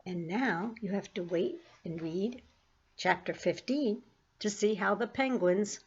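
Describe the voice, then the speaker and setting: A woman reading a children's chapter book aloud in a clear, steady, expressive way. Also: medium pitch, measured pace, highs cut off. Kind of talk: storybook read-aloud.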